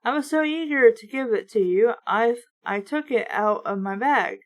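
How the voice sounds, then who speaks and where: Lady reading as a nice middle-aged woman stopping by in a kids book, polite and soft spoken, highish. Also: moderate pace, natural voice. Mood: pleasant, polite.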